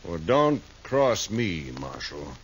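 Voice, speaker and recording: An actor playing a smug, menacing, shady saloon gambler in a 1950s radio western. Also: male voice, low-pitched, big pitch swings, slow pace, muffled recording, exaggerated voice. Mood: threatening. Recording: noisy 1950s radio recording.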